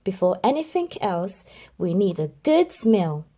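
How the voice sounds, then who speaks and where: Confident scruffy male stray dog, easygoing and mellow, voiced by a woman reader. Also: medium pitch, very animated, measured pace. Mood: breezy. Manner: easygoing and self-assured.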